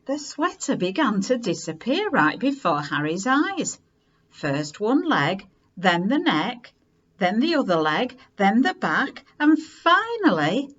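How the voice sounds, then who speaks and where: A woman reading a children's story aloud in an expressive, unhurried way with dramatic emphasis. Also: fairly high pitch, lo-fi sound.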